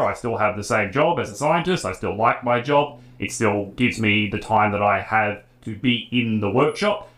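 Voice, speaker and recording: A man's voice: medium pitch, a bit bright. A man in his thirties with an Australian accent, talking casually to camera as a hobby woodworking creator in his garage workshop. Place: home garage workshop, indoors. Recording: roomy garage echo.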